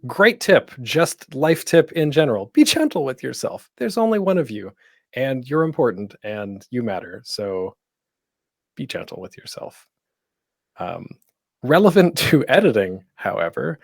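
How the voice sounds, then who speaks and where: Animated man giving an informal talk, close and dry. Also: mid-range voice, vocal fry, dry recording. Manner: conversational, encouraging, self-deprecating humor. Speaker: podcaster and panel host. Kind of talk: informal online panel presentation.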